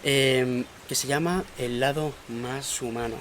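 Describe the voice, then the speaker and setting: A man hosting a podcast, upbeat and quick to laugh in casual chat. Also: mid-range voice, steady tone, a bit bright, slight background noise. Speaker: podcast host.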